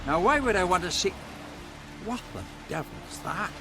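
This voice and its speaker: An actor playing a salty, rustic seaside villager in a fantasy video game, with a folksy, drawn-out way of talking. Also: male voice, high voice, very animated, over music. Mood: disgusted, impressed.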